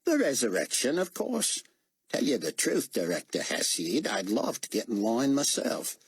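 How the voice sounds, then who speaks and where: A man's voice: mid-range voice, moderate pace, gravelly, slightly rough, voice pushed up, full character voice. A man voicing an overeager, rambling male motor pool chief with an Australian accent in an audiobook. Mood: eager. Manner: rambling, excited, keeps talking. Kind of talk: audiobook character voice.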